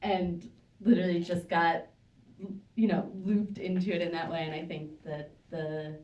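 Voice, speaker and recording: A woman's voice: on the low side, little pitch movement, measured pace, a little mellow, dull audio. A woman speaking at a live panel, talking casually with many fillers and restarts. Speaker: panel guest. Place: synagogue hall, live audience. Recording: echoey room, distant mic.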